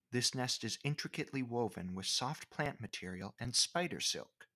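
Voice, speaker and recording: Guy doing scripted nature narration, kinda formal and measured, average pitch voice. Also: fairly bright tone.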